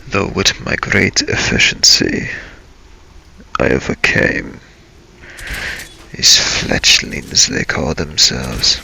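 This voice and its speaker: Brooding vampire adventurer played by a man, game role-play narration. Solemn, dramatic, halting; gravelly, with sharp edge. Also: rumbling low, expressive, heavily acted, low-quality recording. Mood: grave, measured. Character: brooding male vampire adventurer narrating his dark journey. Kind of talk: improvised in-character role-play narration over gameplay.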